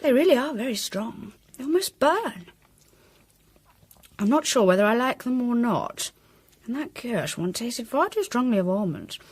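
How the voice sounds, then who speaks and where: An actress playing a playful, fussy upper-class English wife in a period radio drama, speaking in a light, musing way. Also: female voice, fairly high pitch, very animated, moderate pace, husky. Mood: puzzled, musing.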